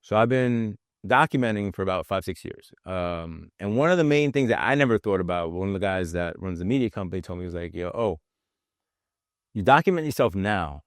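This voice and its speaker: Casual New host, quick affirmations. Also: male voice, sits low, smooth, dry recording, clean studio sound. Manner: lively anecdotes, frequent affirmations. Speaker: podcast host. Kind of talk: casual interview chat.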